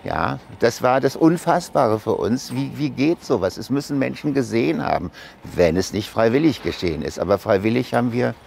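A man being interviewed, speaking in short, halting phrases and repeating words. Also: medium pitch, lively intonation, gravelly, darkish tone, slight background noise.

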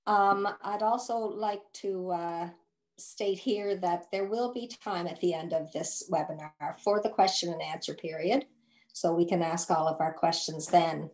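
A woman's voice: on the low side, fairly even intonation, a little slow, a little mellow, poor audio. An older woman hosting a webinar, thanking a speaker and handing over to the next one over a video call. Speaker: webinar host. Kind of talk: moderating a webinar.

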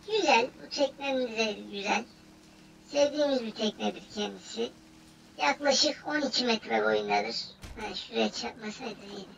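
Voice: medium pitch, very animated, moderate pace, vocal fry, a bit bright, recorded from a distance, slight background noise, poor audio. A gaming host giving casual, playful commentary in slangy banter, often repeating phrases over and over. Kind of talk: casual gameplay commentary, playful role-play.

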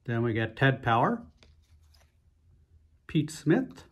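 Husky-voiced man opening card packs. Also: mid-range voice. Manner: relaxed, unhurried, frequent "uh" fillers. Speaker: host of a card-opening channel. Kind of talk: casual pack-opening narration. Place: indoors at a table, hands only on camera.

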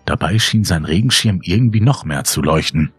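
A man's voice: low voice, expressive, normal speed, husky, no echo. A man reading a scripted horror story as a dramatic narrator. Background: music under the voice.

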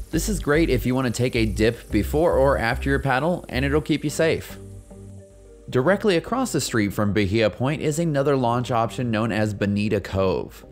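A man narrating a scripted kayaking travel vlog as a voice-over, giving friendly, informative tour-guide directions. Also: mid-range voice. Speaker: kayaking vlogger. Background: soft background music.

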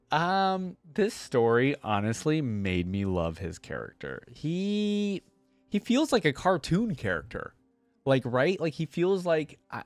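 A man's voice: mid-range voice, no room sound. A young man giving casual reaction commentary, thinking aloud informally and at times reading text out, close on a desk microphone. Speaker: reaction video host. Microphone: close desk microphone on arm.

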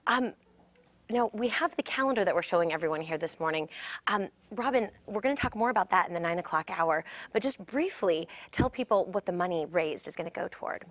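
A woman's voice: lowish voice, animated, brisk. A woman in her thirties hosting a morning television show, chatting upbeat with guests on a studio set. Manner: chatty, upbeat, some restarts. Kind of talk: live studio interview.

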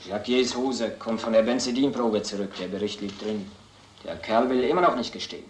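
Man acting a low-voiced, glum police subordinate. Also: fairly quick, natural voice. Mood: matter-of-fact. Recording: echoey, noisy old film soundtrack.

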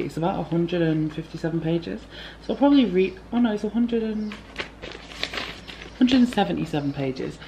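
A young woman chatting to a handheld camera in a room, rambling and lively as she talks. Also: sits low, vocal fry, slight background noise, low-quality recording. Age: young adult. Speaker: vlogger. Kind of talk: casual chat to camera. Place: indoor room with bookshelves at a retreat. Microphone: handheld camera at arm's length.

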